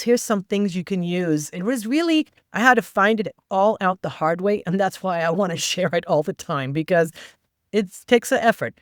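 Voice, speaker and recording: A woman whose first language is not English, guesting on a podcast and telling her story in a lively way with quoted asides. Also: mid-range voice, no echo, studio quality. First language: non-English first language. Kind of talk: conversational interview.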